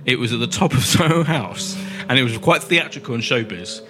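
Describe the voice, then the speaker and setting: A man's voice: mid-range voice, lively intonation, fairly quick, music in the background. A man hosting a casual podcast chat, stuffed up with a cold, chatty and self-mocking. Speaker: podcast host.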